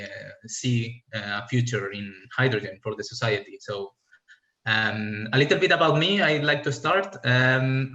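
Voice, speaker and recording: A man's voice: mid-range voice, fairly bright tone, roomy sound, dull audio. A man from Spain with a Spanish accent, presenting as a fuel cell scientist on a panel, hesitant with many filler sounds.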